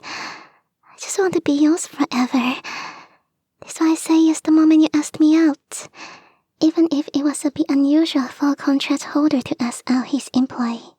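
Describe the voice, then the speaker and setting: A woman voicing a clingy, lovestruck young kitsune fox girl in a soft, breathy, often whispered ASMR romance roleplay, coy and gushing. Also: high-pitched, dry recording. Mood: tearful pleading. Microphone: close microphone, dry.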